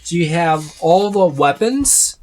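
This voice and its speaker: A man's voice: on the high side, animated, takes it slowly, a little mellow. A man giving a casual, unscripted walkthrough of a book, rambling and often listing items one by one. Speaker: tabletop gaming reviewer.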